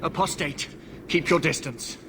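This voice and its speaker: An actor playing a stern, devout templar knight in a fantasy game, speaking formally. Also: male voice, a little high, unhurried. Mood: stern, suspicious. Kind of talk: fantasy video game dialogue. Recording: clear ambient noise behind game dialogue.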